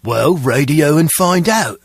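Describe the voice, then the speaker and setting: A man playing a gruff, by-the-book henchman guard in a spy video game, with a gravelly, husky voice. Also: fairly high pitch, expressive, slow, no room sound, clean studio sound, exaggerated voice. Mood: stern. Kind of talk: video game dialogue acting.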